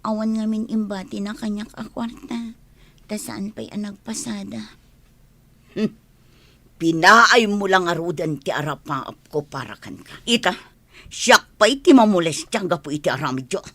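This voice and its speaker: A woman's voice: mid-range voice, normal speed, fairly bright tone, no echo, close to an everyday voice. A woman playing an emotional, strong-willed character in a family audio drama, reproachful and plaintive in delivery. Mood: pleading, then angry, hurt. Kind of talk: melodramatic audio drama dialogue.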